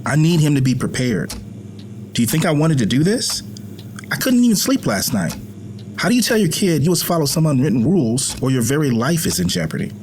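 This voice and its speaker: A man's voice: on the high side, sing-song, brisk, a little mellow, a little hiss or hum. A middle-aged man playing a protective, anxious American father in a naturalistic drama, earnest and pleading. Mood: defensive.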